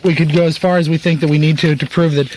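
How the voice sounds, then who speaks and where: A man who writes and directs on a radio show chats casually in the studio. His voice sits slightly high, with a dry, agreeable manner and faint noise behind him. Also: little pitch movement, fast, a little mellow, poor audio.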